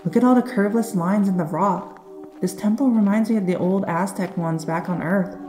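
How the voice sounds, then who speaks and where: A brainy, curious young hero played by a woman chats lightly at a medium pitch. It is audio drama acting with music clearly playing behind. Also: moderate pace, warm tone, natural voice.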